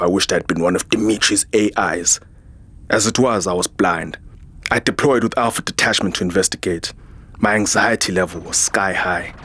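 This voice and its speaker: An actor playing a weary, hot-tempered military security chief in a science fiction audio drama, speaking in a terse, dramatic way. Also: male voice, fairly low pitch, a bit bright, slight background noise. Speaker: lead actor and first-person narrator. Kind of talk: audio drama acting and narration.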